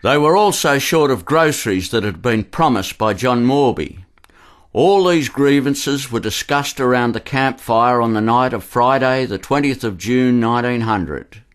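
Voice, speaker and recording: A man's voice: mid-range voice, a little slow. A man narrating a scripted historical documentary radio play.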